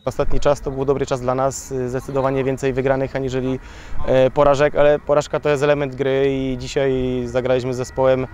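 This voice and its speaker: Flat-voiced coach interviewed by the pitch. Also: male voice, slight background noise. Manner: hesitant, many fillers, measured. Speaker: youth football coach. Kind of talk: post-match interview. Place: outdoors beside a football pitch.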